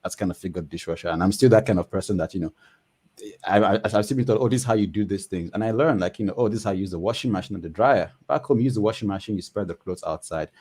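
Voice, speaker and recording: A man's voice: fairly low pitch, very fast, a little mellow, breathy edge. A man talking casually as a guest on a panel discussion, chatty and full of fillers. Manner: chatty, frequent "you know" fillers.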